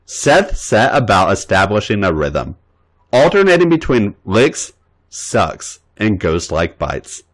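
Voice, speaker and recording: Expressive male story reader. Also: lowish voice, slow. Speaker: podcast host and reader. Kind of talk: reading fan fiction aloud.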